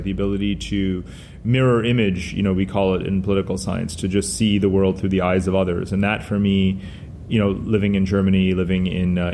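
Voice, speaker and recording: Man, middle-aged, Hindi first language, low-ish voice, discursive, faint background noise. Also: darkish tone. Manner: discursive, frequent "you know" fillers. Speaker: guest author. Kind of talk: conversational interview answers.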